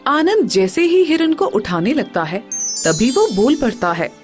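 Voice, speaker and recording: Lively female story narrator. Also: fairly high pitch, unhurried, soft background music. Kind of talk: scripted moral story narration.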